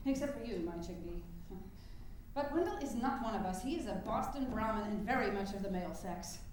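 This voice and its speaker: An actress playing an ardent, stubborn suffrage crusader in a staged historical drama, speaking emphatically and insistently. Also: female voice, medium pitch, expressive, a little fast, close to an everyday voice. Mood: persuasive. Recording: very echoey room with faint noise.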